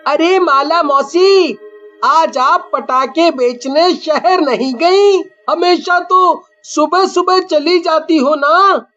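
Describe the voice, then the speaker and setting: A woman's voice: extremely high pitch, takes it slowly, a bit bright, highs cut off, faint music behind, exaggerated voice. A woman voicing a curious, eager young boy in a Hindi cartoon story, chatty and innocent.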